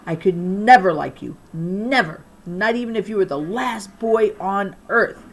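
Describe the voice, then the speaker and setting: A woman's voice: mid-range voice, unhurried. A woman reader voicing an indignant young schoolgirl in a storybook, blunt and emphatic. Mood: angry.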